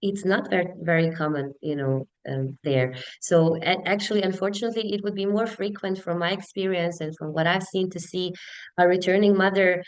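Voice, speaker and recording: Female HR professional, 30s, Bosnian accent. Smooth, slightly low voice, conversational and earnest in a webinar interview. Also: steady tone, brisk, low-quality recording. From Bosnia and Herzegovina. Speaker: HR business partner, webinar guest.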